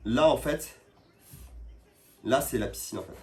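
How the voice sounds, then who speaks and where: A man's voice: medium pitch, a little slow, a little mellow. A man with a cold, tired, chatting casually and in slang to viewers on a live stream as he shows building work. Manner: informal, slangy. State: has a cold, tired, back pain. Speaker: livestreamer showing building work.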